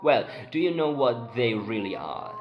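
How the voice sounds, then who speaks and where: A man's voice: mid-range voice, animated, measured pace, dull audio, natural voice. Man acting an indignant, emphatic town doctor, music behind. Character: excitable crusading male town doctor, proud and indignant. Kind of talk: amateur dramatic play reading. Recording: voice over prominent background music.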